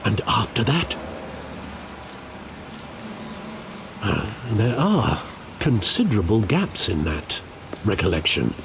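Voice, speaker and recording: An actor playing an older, loyal guardian in a video game, speaking in a measured, formal and caring way. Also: male voice, fairly low pitch, sing-song. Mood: concerned. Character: older loyal, gently grave guardian of an orphaned man. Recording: clear rain and vehicle noise behind.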